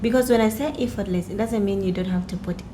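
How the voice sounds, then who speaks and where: Woman, medium pitch, podcast host, conversational, frequent interjections, echoey room, faint noise. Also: fast. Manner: reactive, interjects often.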